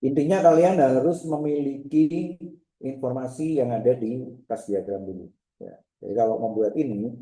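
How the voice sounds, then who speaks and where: Male lecturer, very dark soft-edged tone, slight cough, repetitive step-by-step explaining, roomy echo. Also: medium pitch. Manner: repetitive, checking with frequent short tags. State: bit of a cough, clearing throat. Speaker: lecturer teaching a software diagram class. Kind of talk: informal step-by-step screen demonstration lecture. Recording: echoey room sound.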